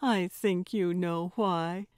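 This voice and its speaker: A woman voicing a candid, cheerful older grandmother in an audiobook, speaking in a conversational, gently teasing way. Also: fairly low pitch, steady tone, slow pace, clear, resonant tone, no room sound, studio quality. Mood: careful. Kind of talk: audiobook character voice.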